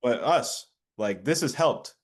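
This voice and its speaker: A man in his thirties, a podcast guest, talking in a relaxed way with lots of fillers and tag questions. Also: mid-range voice, slow pace, breathy edge. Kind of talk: casual conversation.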